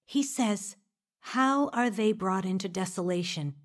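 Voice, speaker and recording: A woman's voice: medium pitch, a little slow, close to an everyday voice. An actress playing a zealous born-again woman, earnest and insistent, in a radio drama. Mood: solemn.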